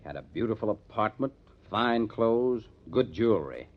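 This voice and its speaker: An actor playing a matter-of-fact police detective captain in an old-time radio crime drama, plainspoken and emphatic, on a muffled vintage recording. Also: male voice, fairly low pitch, animated, normal speed. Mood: businesslike, emphatic.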